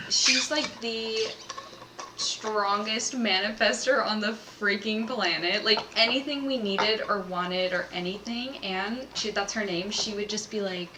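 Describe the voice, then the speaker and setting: A young woman chats through a long story, packing her sentences with "like" and breaking into laughter. Her voice sits at an ordinary pitch. A faint hiss of noise runs under her. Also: unhurried, bright, forceful tone, rough recording. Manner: chatty, filler-heavy, laughing storyteller. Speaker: podcast guest. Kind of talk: casual storytelling chat.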